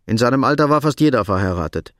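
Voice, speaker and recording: A man narrating a crime radio drama in a measured, matter-of-fact scripted style. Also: mid-range voice, animated, a bit bright, no room sound, studio quality, natural voice.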